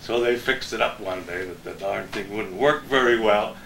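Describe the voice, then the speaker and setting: A man's voice: fairly low pitch, moderate pace, vocal fry, a bit bright. An older man, a veteran TV weatherman, chatting casually and telling anecdotes with a chuckle. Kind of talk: casual reminiscing chat. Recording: roomy echo, faint background noise.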